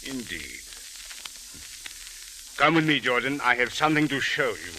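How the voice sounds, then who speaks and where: An actor playing a stern, suspicious police captain, speaking formally and deliberately in old radio drama style. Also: male voice, mid-range voice, sing-song. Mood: pressing. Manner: formal, deliberate, uncontracted speech. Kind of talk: 1940s-50s radio detective acting. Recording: hissy old radio recording.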